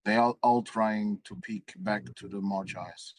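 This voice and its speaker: A man's voice: on the low side, steady tone, a little slow, no room sound. Man explaining trades in casual chat. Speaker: stream participant.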